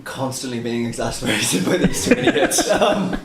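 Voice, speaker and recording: A man's voice: medium pitch, huge pitch swings, normal speed, a crowd in the background. A man in casual banter, speaking as a player in a tabletop game. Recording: echoey room, clear background noise.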